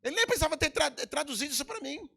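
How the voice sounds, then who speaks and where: A man's voice: extremely high pitch, very animated, racing, vocal fry, sharp tone. A middle-aged Brazilian man with a Brazilian Portuguese accent, preaching a sermon in a church with emphatic swells and sudden drops. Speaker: pastor. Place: church hall.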